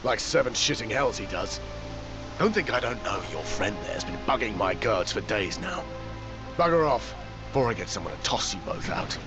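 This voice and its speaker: A man's voice: lowish voice, big pitch swings, moderate pace, music in the background. A man playing a scornful master-at-arms in a video game, brusque and sarcastic. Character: gruff, scornful master-at-arms, barking soldier.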